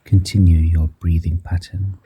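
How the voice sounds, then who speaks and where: A man guiding a breathing meditation in a slow, soft-spoken manner, counting the breaths aloud and dropping some counts to a whisper. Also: low voice, little pitch movement, breathy edge, highs cut off. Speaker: meditation guide.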